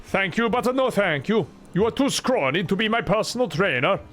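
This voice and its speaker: A man's voice: sits high, expressive, normal speed, fairly bright tone, soft background music, pitched above the performer's own voice. A man voicing a pompous, boastful bodybuilder from a video game. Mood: boastful, weary. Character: boastful muscle-bound Swedish bodybuilder game character.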